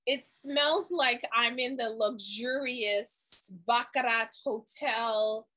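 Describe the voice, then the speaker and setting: A woman's voice: a little high, slow, sharp tone. A woman interviewing a guest, gushing with praise in a casual chat.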